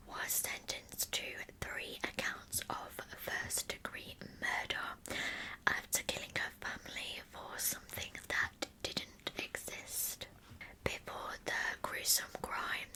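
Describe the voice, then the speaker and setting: A woman speaking in a soft, unvoiced whisper as an ASMR host narrating a true crime story. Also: rough recording. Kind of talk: whispered ASMR storytelling, reading with chatty asides.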